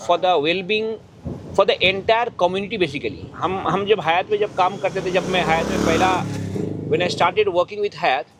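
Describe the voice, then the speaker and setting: A man with an Indian accent speaks in a fairly high voice during an unscripted interview. He repeats words and phrases as he builds his answers. There is faint noise behind him. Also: a bit bright, low-quality recording. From India, Bihar. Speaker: chef, interviewee. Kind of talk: unscripted interview talk mixing English and Hindi.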